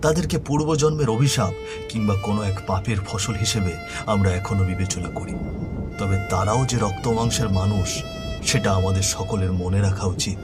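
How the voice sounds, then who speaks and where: A man's voice: on the low side, wildly swooping pitch, moderate pace, over loud music. A man narrating a scripted horror story in a solemn, earnest manner.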